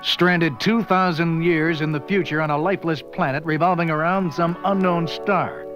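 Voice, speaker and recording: An actor playing a cynical, bitter stranded astronaut in a wry, dramatic audio drama style. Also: male voice, a little high, steady tone, moderate pace, music in the background, lightly acted. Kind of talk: 1970s audio drama acting.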